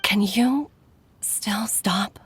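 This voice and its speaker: A woman's voice: medium pitch, very slow, slight background noise. A woman playing an earnest, caring and grave healer in dramatic video game dialogue. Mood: regretful, worried.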